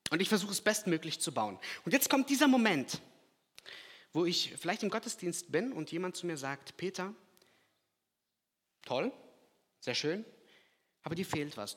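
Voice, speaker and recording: A man from Kazakhstan preaching a sermon, swinging from loud emphatic lines to quiet, hushed asides. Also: a little high, sing-song, a little slow, pauses often, breathy edge. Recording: long hall reverb.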